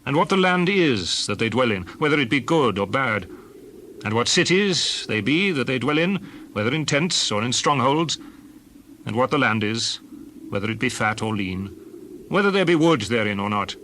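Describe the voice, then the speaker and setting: Bright forceful male, commanding biblical leader, earnest, declamatory, birds and ambient noise, scripture drama. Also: mid-range voice, animated, normal speed. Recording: clear background noise with birds.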